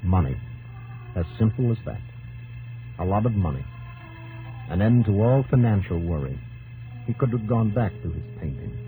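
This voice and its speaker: A man's voice: on the low side, expressive, moderate pace, long pauses, phone-quality sound. A man narrating a 1950s radio drama in dramatic, clipped, solemn phrases. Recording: old radio broadcast with organ music.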